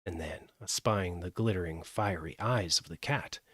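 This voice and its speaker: A man narrating a fairytale as a live audiobook, with an expressive, measured delivery. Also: deep voice, breathy edge, no room sound. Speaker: storyteller.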